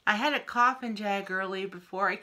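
A middle-aged woman from Pennsylvania, a little wheezy and often clearing her throat, chatting through a craft haul on camera in her home kitchen. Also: mid-range voice, sharp tone. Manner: chatty, unhurried, friendly show-and-tell. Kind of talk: casual haul video. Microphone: camera in front at arm's length.

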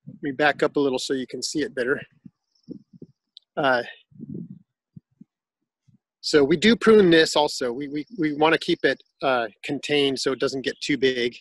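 A man giving a casual tour of his backyard garden, explaining plants in a relaxed, informative way with some fillers. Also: a little high, breathy edge, dry recording, low-quality recording.

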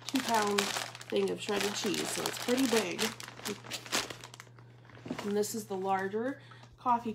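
A woman in her thirties chats her way through a live product demo from her living room, filling pauses with ums. Her voice has a gravelly, creaky edge, and the stream carries a clear hiss of noise. It sounds like a phone or webcam at table distance. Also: medium pitch. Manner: chatty, casual, frequent ums. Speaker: bag consultant demonstrating products. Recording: noisy Facebook Live stream.

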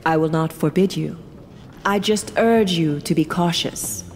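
A measured scholarly mentor, reverberant hall. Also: female voice, sits low, darkish tone, slight background noise. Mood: cautioning, storytelling. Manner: formal, measured, gracious. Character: measured, kindly scholarly mentor lady, keeper of records. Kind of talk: fantasy audio drama acting. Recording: long hall reverb, music behind.

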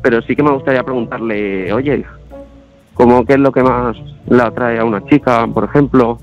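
A man's voice: mid-range voice, steady tone, moderate pace, faint music behind. Nervous young Spanish man on a phone. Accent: Spain. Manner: nervous, hesitant, placating. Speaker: prank call victim. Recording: muffled phone line.

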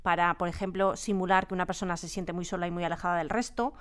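A woman's voice: on the low side, fairly even intonation, fast, clear, resonant tone. A woman with a Spain accent, a sound designer answering interview questions conversationally in a radio studio.

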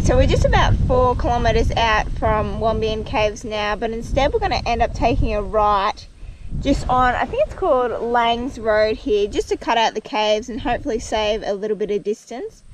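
A woman's voice: fairly high pitch, a little fast. A young woman chatting upbeat while riding a motorbike on dirt trails, talking into a helmet mic. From Australia. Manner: chatty, upbeat, thinking aloud. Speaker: vlogger. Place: outdoors on a dirt bike trail. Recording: loud engine and wind noise. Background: motorbike engine and wind.

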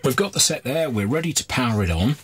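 A man's voice: mid-range voice, big pitch swings, fast talker, over loud music. A middle-aged man giving informal, candid hands-on commentary as he presents a repair video. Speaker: host of a radio repair video. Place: small cluttered workshop, indoors.

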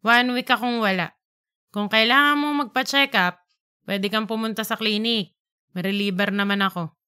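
A woman voicing a calm, businesslike doctor in an audiobook. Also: medium pitch, moderate pace, clean tone, natural voice. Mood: calm, informative. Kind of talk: audiobook character reading.

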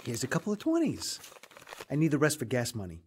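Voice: medium pitch, huge pitch swings, brisk, noisy background. A dismissive shady husband. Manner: dismissive, curt.

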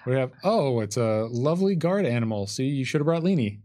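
A man's voice: medium pitch, lively intonation, brisk, warm tone. Conversational man running a tabletop game. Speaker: game master. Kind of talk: narrating and adjudicating a tabletop game. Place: indoor studio, seated at a game table.

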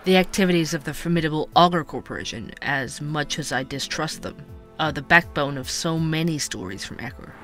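Male actor as young podcast narrator, earnest, curious, lightly high pitch, audio drama, faint music. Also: animated, fairly quick, lightly acted. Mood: brooding, wondering.

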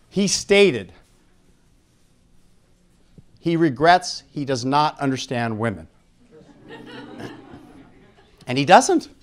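Middle-aged American male seminar speaker, pitch a bit above average, handheld mic, frank public-lecture delivery. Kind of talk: public lecture with questions to the audience. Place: conference room at an expo, live audience. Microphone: handheld microphone.

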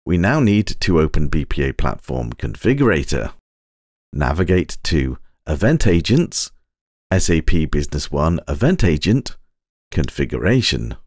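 A man with a low voice delivers scripted tutorial narration. His intonation moves widely while he gives measured, precise, step-by-step instructions. The recording is dry and close, as a voice-over. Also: very animated, a little mellow, no room sound.

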